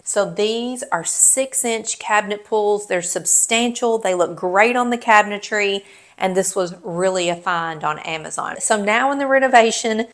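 A middle-aged woman giving an enthusiastic tour of her renovated living room, gushing and emphatic. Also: medium pitch. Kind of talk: enthusiastic home tour narration.

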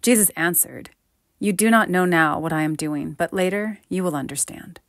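A woman's voice: lowish voice, moderate pace, dry recording, studio quality. A woman reading scripture aloud, moving between narration and dialogue. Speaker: scripture reader.

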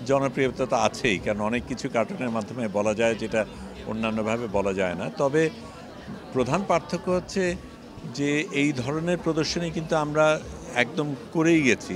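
A man in his sixties with a Bangladeshi accent, giving a halting, measured interview. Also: medium pitch. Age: 60s. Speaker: interviewee, exhibition organizer. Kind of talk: unscripted interview. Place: busy indoor gallery. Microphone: handheld reporter microphone. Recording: busy crowd noise around voice.

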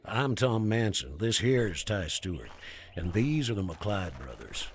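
An actor playing a commanding false Texas Ranger in a western game, authoritative and curt. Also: male voice, lowish voice, very animated, a little slow, a little hiss or hum. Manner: authoritative, curt, barking orders. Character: commanding bandit leader posing as a Texas Ranger. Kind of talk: western video game acting.

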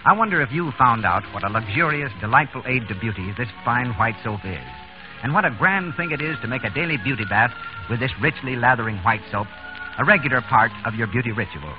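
A man's voice: mid-range voice, lively intonation, fairly quick, music in the background. A male announcer reading a scripted 1930s radio advertisement in a polished, persuasive manner. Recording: dull old radio recording.

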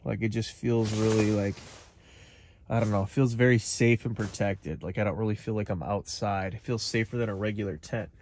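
A man's voice: fairly low pitch, moderate pace, slight background noise. A young man filming himself on a handheld camera, chatting casually about camping in a van and a forest. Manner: relaxed, rambling with many "like" fillers. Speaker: camping vlogger. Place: inside a parked van at night, then outdoors in a forest campsite. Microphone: phone or action camera held at arm's length.

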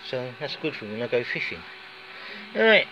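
A man's voice: mid-range voice, sing-song, moderate pace. Chatty British man on lo-fi phone audio. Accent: British English. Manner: chatty and rambling, with folksy asides. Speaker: hobbyist vlogger. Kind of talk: casual DIY demonstration. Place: indoors, garage or workshop floor. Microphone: handheld phone filming. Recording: dull lo-fi phone audio with clear background noise.

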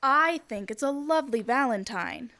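A young woman in her teens playing a gently chiding, reasonable suburban mother in old-time radio comedy style. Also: high voice, lively intonation, moderate pace, exaggerated voice. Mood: approving. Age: teenager. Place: school library. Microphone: standing stage microphone.

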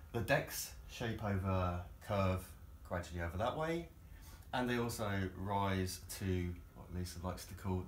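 A man gives an informal tour in a fairly deep voice. He chats wryly, pausing to find his words. The sound is roomy and reverberant, picked up inside a boat with slight noise behind him. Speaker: boat owner and builder giving a tour. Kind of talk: informal impromptu guided tour. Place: large cluttered covered deck space inside a boat. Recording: echoey boat interior with background noise.

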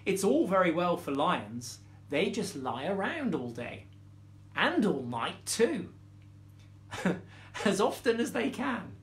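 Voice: medium pitch, very animated, slow pace, roomy sound. A woman voicing a stern, weary mother lioness from a children's storybook, scolding her cubs. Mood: weary, grumbling. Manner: stern, commanding.

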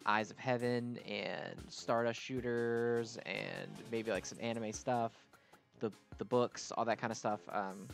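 A man's voice: medium pitch, fairly even intonation, unhurried, gravelly. A man hosting a gaming video with casual, slangy and self-deprecating gameplay commentary. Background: music.

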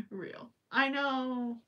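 A woman's voice: high-pitched, steady tone, extremely slow, pushed and bright, recorded from a distance, dull audio. Chatty young woman with a Minnesota accent. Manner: chatty, rambling, joking asides with frequent laughs. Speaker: crochet livestream host. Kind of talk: casual livestream chat. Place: small indoor craft room with yarn shelves.

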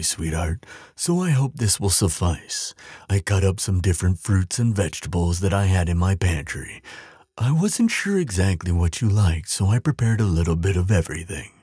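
Man playing a deep, husky gentle giant. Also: very animated, dry recording, studio quality, full character voice. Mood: doting, gentle. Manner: soothing, doting, unhurried. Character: huge gentle male giant, tender and caring. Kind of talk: audio roleplay acting, softly spoken to the listener.

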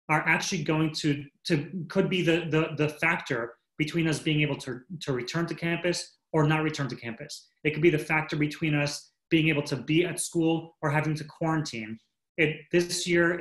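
A man's voice: a little high, echoey room, poor audio. A man addressing parents on a video call in a heartfelt, pleading way as school leader, repeating his key words. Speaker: school head of school.

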